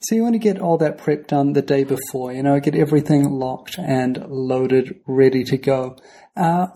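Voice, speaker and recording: A man with a New Zealand accent, co-hosting a travel podcast in casual conversation, telling anecdotes and acting out the people in them. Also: mid-range voice. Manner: storytelling, animated when quoting others. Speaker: podcast co-host.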